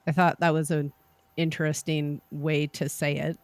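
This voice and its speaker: A woman co-hosting a podcast, chatting and reading out a cocktail recipe in a measured, unhurried way. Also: deep voice, level tone, darkish tone, no echo. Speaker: podcast co-host.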